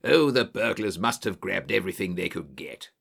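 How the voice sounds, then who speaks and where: Man doing a gravelly, bluff English colonel. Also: deep voice, expressive, moderate pace, a bit bright, pitched below the performer's own voice, full character voice. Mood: conversational, bluff. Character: bluff, genial old-soldier colonel, English country gentleman.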